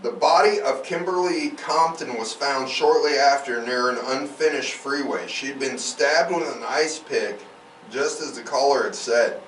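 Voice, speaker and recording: Guy podcast host, slowish delivery, sweary irreverent asides, echoey room off the mic. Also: medium pitch, a bit bright. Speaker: true crime podcast host. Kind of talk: reading case notes with crude casual asides. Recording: roomy, distant mic, faint background noise.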